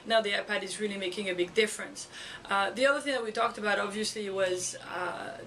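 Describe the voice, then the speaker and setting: A woman with a French accent, a school educator talking casually to her camera in a halting way, with many fillers and restarts. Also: mid-range voice, fairly bright tone, distant mic, a little hiss or hum, poor audio. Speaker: school educator and video blogger. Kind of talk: casual vlog talk to camera. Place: outdoors or home setting in Florida. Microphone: camera mic.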